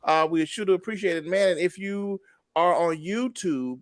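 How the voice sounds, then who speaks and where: Chatty host, dry close sound. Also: male voice, sits high, normal speed, no room sound. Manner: relaxed, chatty, greets viewers by name. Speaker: show host. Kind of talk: casual livestream talk show.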